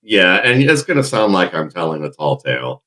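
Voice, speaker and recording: Man, middle-aged, very deep baritone, husky, bright forceful edge, easygoing podcast guest. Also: normal speed. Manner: deep baritone, relaxed storytelling. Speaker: guest draft analyst. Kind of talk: casual podcast interview.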